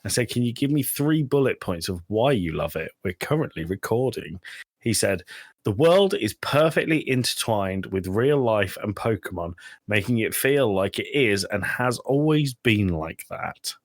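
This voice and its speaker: A man's voice: fairly low pitch, expressive, normal speed, no echo, clean studio sound. A man hosting a podcast in casual chat, with teasing, sweary banter. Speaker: podcast host.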